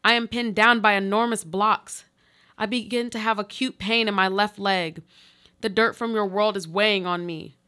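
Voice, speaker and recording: A woman playing a desperate, pleading earthquake victim buried alive, in a deliberate, literary radio play monologue. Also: medium pitch, steady tone, bright, forceful tone, clear, resonant tone, close to an everyday voice. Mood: anguished pleading. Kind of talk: live radio play monologue, read from a script.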